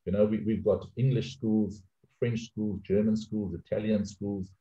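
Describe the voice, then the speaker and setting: A man's voice: on the low side, flat delivery, measured pace, warm tone, slight background noise. A middle-aged man with a South African accent, speaking emphatically on a webinar panel with frequent restarts, over a video call. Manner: emphatic, repeats words while restarting. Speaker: outsourcing panelist. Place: home office, video call. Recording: echoey room, dull lo-fi call audio.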